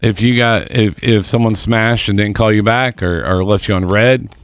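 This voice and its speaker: A man in his forties riffs through foul-mouthed podcast banter in a flat, deadpan way. His voice sits low, and he often stumbles and restarts mid-sentence. The sound is dry and close, with no room around it. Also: normal speed, dry recording. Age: 40s. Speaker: podcast co-host. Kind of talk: crude casual banter.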